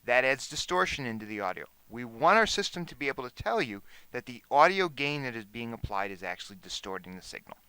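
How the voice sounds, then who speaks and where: Male instructor for screencast tutorial: animated intonation, bright forceful tone, measured explanatory delivery. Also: medium pitch, smooth, no echo, low-quality recording. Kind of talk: explanatory software demonstration.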